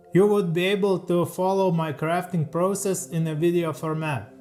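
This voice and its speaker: A man with a fairly high voice and a Lithuanian accent reads a prepared voice-over off a teleprompter. His English is careful and a little halting, the speech of a second-language speaker. Soft music plays faintly underneath. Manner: careful, slightly halting non-native English. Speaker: leathercraft YouTuber. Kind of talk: scripted voice-over read from a teleprompter.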